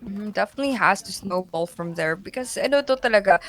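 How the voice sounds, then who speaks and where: Woman, Filipino accent, mid-pitched voice, esports shoutcaster, analytical live commentary, English-Tagalog mix. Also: animated, fast talker, a little hiss or hum.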